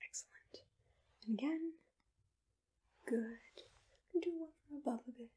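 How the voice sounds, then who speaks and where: Woman, whispered ASMR roleplay, very breathy, slow pace, gentle, reassuring, attentive. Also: high-pitched. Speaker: ASMR roleplay host as a monster matchmaker.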